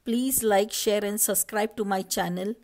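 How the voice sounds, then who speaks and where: A woman's voice: mid-range voice, fairly even intonation, a little mellow. A woman from India, narrating a recipe step by step as a cooking channel host over her footage. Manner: slow, step-by-step instructing.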